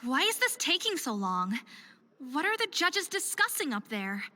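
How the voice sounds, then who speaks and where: A woman's voice: high voice, sing-song, moderate pace, sharp tone, heavily acted. A woman voicing an anxious but determined young female figure skater in a visual novel game, given to self-doubt and pep talks. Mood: frustrated.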